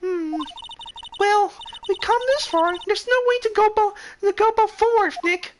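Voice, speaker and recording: A man voicing a perky, encouraging young woman assistant in a game dub, using an eager falsetto. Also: normal speed. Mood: concerned, encouraging.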